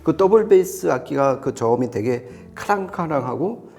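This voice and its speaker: A man's voice: fairly high pitch, expressive, moderate pace, creaky, dark tone, soft background music. A man reviewing audio gear, explaining it conversationally to a co-presenter.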